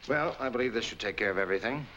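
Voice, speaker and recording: An actor with an American accent playing a smooth, courteous swindler in a 1940s film noir. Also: male voice, mid-range voice, rapid-fire, pushed and bright, close to an everyday voice. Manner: polite, businesslike. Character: smooth, courteous male swindler posing as husband. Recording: dull, noisy old film soundtrack.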